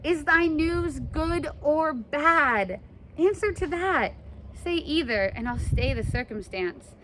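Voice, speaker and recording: A woman performs an impatient young girl in love from a stage play. Her voice is high and very animated, pleading and exasperated in a dramatic way. Faint noise sits behind the recording. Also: slow, full character voice. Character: impatient, lovesick teenage girl pleading for news.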